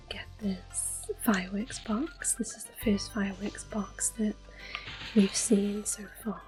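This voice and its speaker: A woman giving soft-spoken, often whispered ASMR commentary as she plays through a video game. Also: medium pitch. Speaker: host of an ASMR gameplay series. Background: game music.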